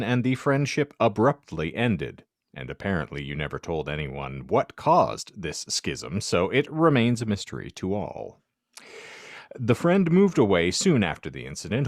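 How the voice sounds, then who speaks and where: Low-voiced man, very animated podcast co-host. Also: no echo, clean studio sound. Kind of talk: casual group banter mixed with reading a card aloud.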